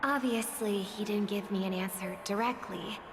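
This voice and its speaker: A woman playing a gentle, earnest young female singer in a video game, idealistic and measured in speech. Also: medium pitch, noisy background, natural voice. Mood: wistful, reflective. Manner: measured, soft-spoken, earnest. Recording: long reverb, music under the voice. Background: background music.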